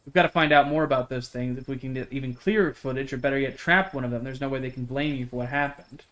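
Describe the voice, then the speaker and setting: A man's voice: mid-range voice, steady tone, fast talker, fairly bright tone, close to an everyday voice. Man playing an earnest young small-town woman. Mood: indignant, determined. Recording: game music behind the voice.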